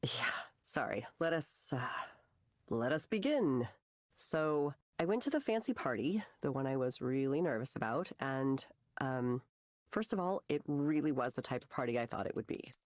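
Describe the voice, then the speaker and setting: A woman's voice: very deep voice, big pitch swings, moderate pace, dry recording, studio quality. An actress playing an anxious, wry young woman who rambles hesitantly through a conversation in a video game. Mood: sheepish, chatty. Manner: hesitant, rambling, self-deprecating. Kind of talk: naturalistic conversational game voice acting.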